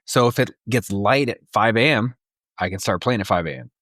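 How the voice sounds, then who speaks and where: Male co-host, slightly deep voice, explanatory yet casual conversation, dry close recording. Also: brisk, a bit bright, no room sound. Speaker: podcast co-host. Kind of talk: casual chat. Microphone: close mic on a group remote call.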